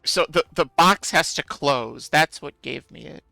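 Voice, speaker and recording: A man's voice: a little high, a little slow, vocal fry, sharp tone, no echo, faint music behind. A man streaming a game, chatting casually with viewers in a musing, self-deprecating way.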